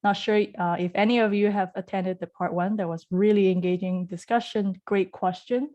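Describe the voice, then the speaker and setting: A woman's voice: medium pitch, steady tone, normal speed, dark tone, muffled recording. A woman from Hong Kong hosting an online group session over a video call, chatty and friendly. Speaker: host.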